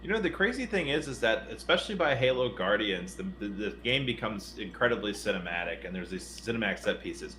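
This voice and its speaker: A man's voice: lowish voice, animated, fairly quick, hardly any pauses, sharp tone, a little hiss or hum. A man chatting casually as a panelist on a podcast.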